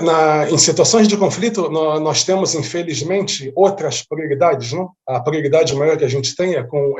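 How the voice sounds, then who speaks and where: Earnest Brazilian man, medium pitch. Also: darkish tone. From born in Rio de Janeiro, Brazil, feels Bahian. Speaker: interview guest, humanitarian diplomat. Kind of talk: conversational interview answers.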